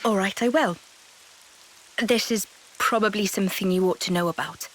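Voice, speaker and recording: A woman voicing Nia, a calm and wise young woman. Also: medium pitch, lightly acted, poor audio. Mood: solemn. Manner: measured, explanatory. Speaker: game character Nia. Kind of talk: video game cutscene dialogue. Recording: game cutscene with music behind. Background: game music.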